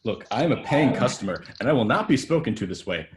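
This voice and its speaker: A man's voice: mid-range voice, very animated, fast, a little hiss or hum, close to an everyday voice. A man reading a cocky young hotshot actor with casual swagger at a table read. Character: cocky young male actor, partying hard.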